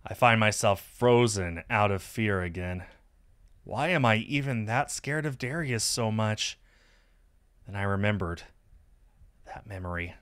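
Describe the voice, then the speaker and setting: A man reading a visual novel aloud as expressive first-person narration on a stream. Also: lowish voice, a little slow, pauses often.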